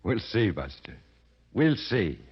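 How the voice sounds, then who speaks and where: A man's voice: lowish voice, very animated, slow pace, slightly rough, faint music behind, exaggerated voice. An actor playing a tough, pushy gangster henchman with slangy, clipped talk in a 1940s radio drama. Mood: threatening, commanding. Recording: dull, lo-fi old radio recording.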